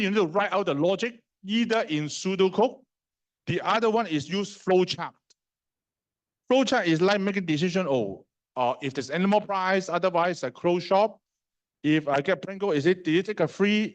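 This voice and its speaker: A middle-aged man with a Hong Kong accent teaches a university class. His voice sits a touch high. He talks casually with restarts and fillers, and often turns to the students with questions. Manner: conversational, fast and slow in turns, asks the class questions; non-native grammar, fillers and restarts. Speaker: university lecturer. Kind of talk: live lecture.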